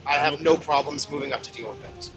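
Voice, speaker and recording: A man with a fairly high voice talks casually as a player in a tabletop game. He explains and advises his fellow players, with music clearly audible behind him. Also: fast talker. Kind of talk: casual game strategy chat.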